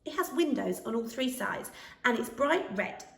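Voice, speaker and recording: A woman acts out a grown male hedgehog in a children's tale, patient and courteous as he instructs. The delivery is very varied in pitch, in an echoey room and slightly off the microphone. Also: expressive, normal speed, gravelly, fairly bright tone. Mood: helpful, instructing. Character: helpful, unhurried grown male stranger hedgehog. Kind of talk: children's storybook character voice. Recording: roomy, distant microphone.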